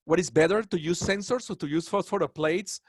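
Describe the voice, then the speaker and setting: A man's voice: sits high, a little fast, husky, no room sound, clean studio sound. A man from Colombia with a Colombian Spanish accent giving a webinar lecture on dentistry, earnest and proud, with rambling asides. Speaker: dental professor.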